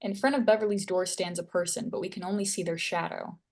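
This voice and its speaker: A woman narrates a screenplay at a table read. Her voice is a bit low as she reads out stage directions and scene headings in a scripted style. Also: steady tone, fast talker, darkish tone.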